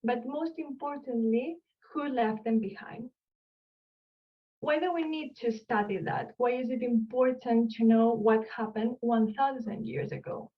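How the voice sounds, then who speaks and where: A woman's voice: on the high side, measured pace, distant mic, highs cut off. A woman from Barcelona with a Spanish accent, an archaeologist explaining her field in an online lecture and asking the audience questions. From Spain, Barcelona. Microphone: video call.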